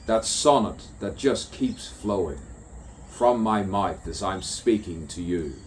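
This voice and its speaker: Man, unhurried delivery, musing poetic monologue, repeated rhyming phrases, faint insect ambience. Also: low-pitched, a little hiss or hum. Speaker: poet. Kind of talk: stream-of-consciousness spoken poetry monologue.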